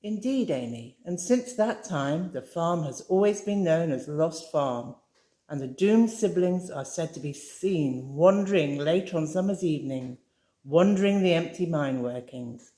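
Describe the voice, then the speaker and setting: A woman reading a story aloud, voicing a grave, patronizing woman who tells a ghost story slowly. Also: expressive, warm tone. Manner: grave, patronizing, low and deliberate. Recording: roomy echoey room.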